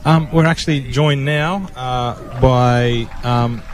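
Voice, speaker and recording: A man's voice: mid-range voice, steady tone, slow pace. An Australian man hosting a radio interview in a friendly, informal way with many fillers, broadcasting outdoors at a public event. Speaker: radio host. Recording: outdoor broadcast with crowd murmur.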